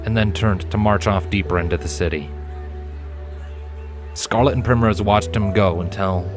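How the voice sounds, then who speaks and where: A man reading scripted story narration as an audiobook narrator. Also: low-pitched. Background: background music.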